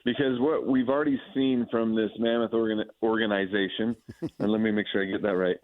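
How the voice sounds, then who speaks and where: A tinny, phone-like connection carries a fairly low American male voice. He is a sports anchor guesting on radio, effusive and conversational, often repeating and rephrasing to build a point. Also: fairly even intonation, brisk. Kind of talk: conversational sports analysis interview.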